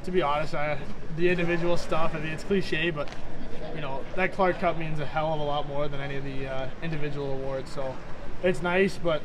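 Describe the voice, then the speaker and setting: Fast-talking young goalie, noisy arena. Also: male voice, a little high, steady tone. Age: young adult. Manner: fast, upbeat, many "you know" fillers. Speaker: hockey goaltender. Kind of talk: postgame press interview. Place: arena interview backdrop, indoors.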